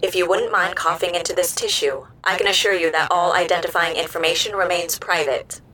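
An actress playing a courteous, helpful community health robot in an audio drama, speaking precisely and formally. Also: female voice, on the low side, steady tone, a little fast, pushed and bright. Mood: polite, reassuring. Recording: music under the voice.

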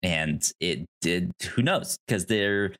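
Low-voiced man, halting and conversational. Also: a bit bright. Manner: hesitant, halting, repeats words while thinking. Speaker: podcast co-host. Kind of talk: casual film discussion.

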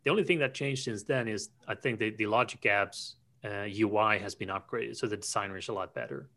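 Bright-voiced man explaining cloud tech. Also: fairly low pitch, a little fast. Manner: explanatory, frequent restarts and fillers. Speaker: podcast co-host. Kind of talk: casual technical podcast chat.

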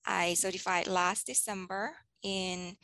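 A woman's voice: fairly low pitch, fairly even intonation, a little slow, sharp tone, no room sound. A woman in her thirties from Thailand, a teacher answering interview questions thoughtfully, with frequent ums and restarts. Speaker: teacher guest.

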